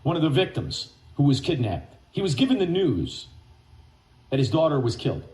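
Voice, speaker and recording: A man hosting talk radio, ranting and mocking with name-calling and sudden shouted bursts. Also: fairly high pitch, sing-song, normal speed, echoey room, low-quality recording. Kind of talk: angry talk radio rant.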